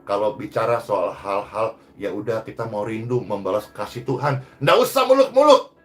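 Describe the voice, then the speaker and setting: A man preaching a sermon as a pastor, exhorting emphatically and moving between loud rises and hushed phrase endings. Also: lowish voice, lively intonation, normal speed, faint music behind.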